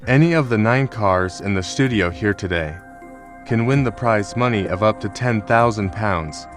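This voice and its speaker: Brief: male game show host, brusque and formal, lowish voice, music clearly behind. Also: normal speed. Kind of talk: scripted game show presenting.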